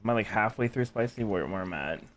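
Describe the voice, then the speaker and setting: A man's voice: on the low side, animated, quick pace, a bit bright, soft background music. A young man giving casual, slangy, rambling commentary as he streams a game.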